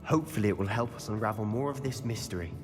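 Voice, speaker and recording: An actor with a British accent playing a wry, curious, bold teenage wizard schoolboy in a video game cutscene. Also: male voice, lowish voice, quick pace, lightly acted. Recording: long reverb, game music behind. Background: orchestral game music.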